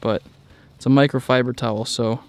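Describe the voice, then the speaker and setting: Male vlogger, clean smooth voice, informal off-the-cuff walkaround delivery recorded outdoors. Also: mid-range voice, steady tone, a little fast, no room sound. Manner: rambling, informal. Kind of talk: casual walkaround narration. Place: outdoors in a driveway beside a truck.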